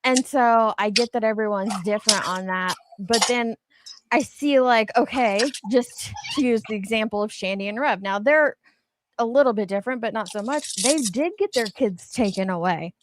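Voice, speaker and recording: A woman's voice: a little high, moderate pace, slight background noise. A woman giving casual, candid commentary as an online show host, speaking emphatically and swearing mildly.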